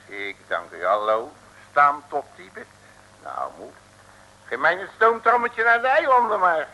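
An actor playing a cheeky, blustering detective with a Dutch accent and folksy slangy talk, in an old comic radio play. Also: male voice, on the high side, sing-song, a little slow, pushed and bright, highs cut off, heavily acted. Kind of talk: 1950s-60s comic radio play acting.

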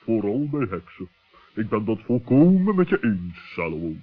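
A man's voice: on the low side, very animated, moderate pace. A man playing a pompous, wary owl with wordy phrasing in a children's radio play. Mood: sceptical. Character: pompous, wary male owl, friend of gnome. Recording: muffled old narrow-band radio recording.

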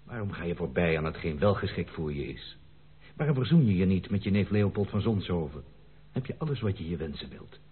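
A deep-voiced, fatherly circus man in a radio play. He is blunt and coaxing, with a very raspy tone. The old recording is narrow and muffled. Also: lively intonation, brisk. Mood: scolding, blunt. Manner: blunt, scolding yet coaxing. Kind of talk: Dutch radio play acting.

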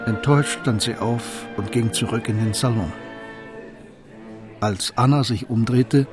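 Man, narrator, mid-pitched, scripted literary storytelling, radio drama, music underneath. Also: unhurried.

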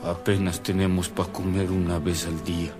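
An actor playing a poor, deferential peasant farmhand in a radio drama, with slow, rustic speech. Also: male voice, deep voice, flat delivery, natural voice. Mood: humble, apologetic. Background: music.

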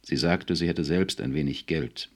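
A man's voice: rumbling low, normal speed, darkish tone. A man voicing a cold, stony, reserved scientist in an audiobook story. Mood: curt.

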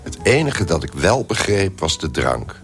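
Hungover first-person narrator for radio drama, weary and wry, extremely deep voice. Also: male voice, sing-song, a little slow, creaky, faint music behind, exaggerated voice. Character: hungover man wearily recounting last night's drinking party. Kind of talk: Dutch radio play narration.

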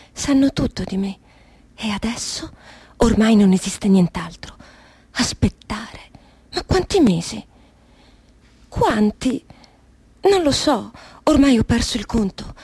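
Husky anguished woman prisoner. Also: on the high side, a little mellow, no echo. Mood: anxious, confiding, then hushed, weary. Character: anguished woman prisoner, frightened yet defiant. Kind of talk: radio drama monologue.

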